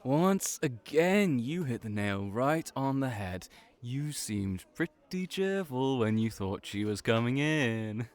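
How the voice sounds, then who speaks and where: A smooth, resonant young ram boy acted by a man in an audio roleplay. He works at a cafe and needles people playfully, taking his time over each jab. His pitch sits in the middle. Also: sing-song, slow. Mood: playful, needling. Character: cheeky, teasing young male ram hybrid cafe worker.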